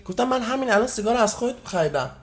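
A man rattling off short jokes at a quick pace. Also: very high voice, expressive. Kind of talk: telling jokes.

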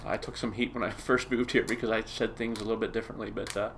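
A man's voice: lowish voice, flat delivery, fast talker, gravelly, fairly bright tone, a little hiss or hum. A science teacher with a western Pennsylvania accent, talking casually about where he grew up. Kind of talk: casual personal account.

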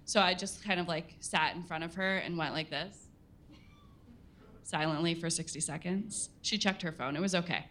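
Reporter woman at a live Q&A, bright and crisp tone, lowish voice, chuckles and ums a lot. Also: normal speed, slight background noise. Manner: self-deprecating, laughs often, hesitant fillers. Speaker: workshop student reporter. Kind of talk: live Q&A answers. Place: listening party in an event space.

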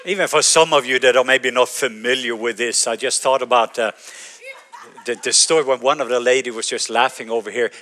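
Norwegian-accented pastor telling a story. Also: male voice, medium pitch, pushed and bright. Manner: storytelling, laughs often, halting restarts. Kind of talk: sermon.

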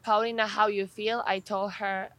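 A woman's voice: mid-range voice, level tone, moderate pace, fairly bright tone, no echo. A woman from Poland, an athlete and trainer answering interview questions earnestly, restarting sentences as she searches for words.

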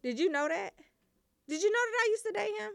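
A woman's voice: extremely high pitch, lively intonation, quick pace, creaky, a bit bright, no echo. A woman hosting a casual comedic show, playful and teasing, trying on mock accents. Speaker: show host. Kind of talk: casual comedic live chat.

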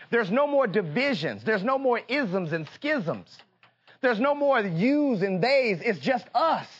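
An American man preaching a fervent sermon in an emphatic, repetitive call-and-response manner, moving between confiding talk and impassioned shouting. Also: very high-pitched, slightly rough, highs cut off.

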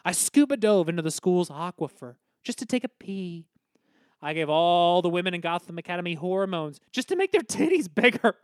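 A performer playing a distraught, guilt-ridden teenage boy, the class clown, halting and overwrought in a comedic audio drama. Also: mid-range voice, very animated, no echo, clean studio sound, lightly acted. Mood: bitter, confessing.

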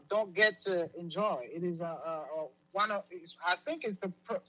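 A man from Zambia, a guest on a talk show, answering in a halting way with many restarts. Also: sits high, pushed and bright, rough recording. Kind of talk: interview answers.

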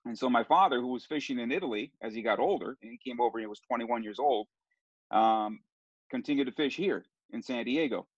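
A man's voice: medium pitch, fairly quick, breathy edge, no room sound. Rambling middle-aged man, dry muffled sound. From Southern California. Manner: rambling, with many restarts and fillers. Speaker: sports broadcaster guest. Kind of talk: casual interview storytelling.

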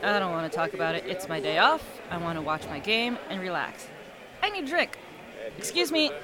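A woman's voice: fairly low pitch, lively intonation, fairly quick, lightly acted. A woman playing a feisty, moody young soccer fan in a casual audio drama. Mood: irritated. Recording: crowd and traffic noise behind.

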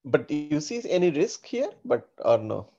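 A man's voice: fairly high pitch, very animated, moderate pace, dark tone. A man calling in, casually and hesitantly asking questions over a phone line. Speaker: caller. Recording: noisy, muffled phone line with clicks.

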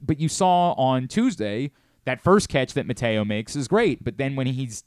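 A man hosts a sports radio show, analyzing the game in an opinionated, emphatic way. His voice sits at a middling pitch, and the studio sound is close and dry. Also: animated, unhurried, darkish tone, clean tone, dry recording. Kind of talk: sports talk commentary.

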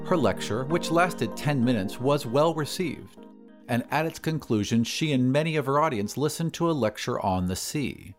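A man giving a measured, deliberate and expressive reading of a literary story as a scripted dramatic narration. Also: medium pitch, over loud music. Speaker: narrator of an audio story podcast.

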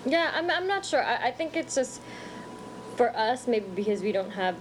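A woman's voice: fairly high pitch, big pitch swings, moderate pace, background noise. A woman raised in the United States, co-hosting a podcast and reflecting in a casual, hesitant way with frequent restarts. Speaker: podcast co-host. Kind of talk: casual conversation.

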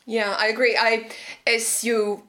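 A woman's voice: on the high side, flat delivery, a little slow, a bit bright. A woman from Romania hosting an interview and asking long, thoughtful questions, with plenty of fillers along the way. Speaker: podcast host.

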